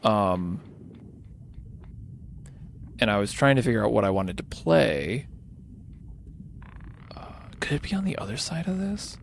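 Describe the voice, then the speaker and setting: Tired guy streaming games, kinda low voice going hoarse, chatting and thinking out loud, bit of noise behind him. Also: big pitch swings, measured pace, pauses often. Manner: rambling, thinking aloud. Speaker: livestreamer hosting a charity gaming stream. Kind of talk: casual unscripted game-stream chat.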